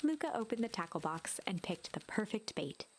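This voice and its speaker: A woman narrating a gentle fairy tale in a video game, in a storybook style. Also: mid-range voice, big pitch swings, moderate pace, breathy edge. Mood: gentle storytelling.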